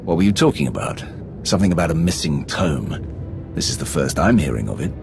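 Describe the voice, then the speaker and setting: Blunt Sith commander, very deep gravelly voice, lively pitch, music behind. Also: male voice, a little fast, full character voice. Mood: stern. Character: commanding male Sith leader, blunt and demanding. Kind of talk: video game cutscene acting.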